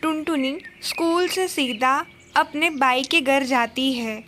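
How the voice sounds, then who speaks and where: High-pitched, unhurried woman storyteller. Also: a bit bright, a little hiss or hum. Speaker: narrator. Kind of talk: scripted children's story narration.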